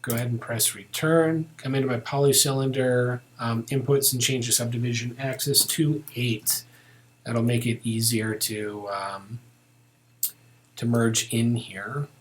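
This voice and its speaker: A man giving a casual step-by-step software tutorial, thinking aloud informally as he works. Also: mid-range voice, fairly even intonation, measured pace, low-quality recording.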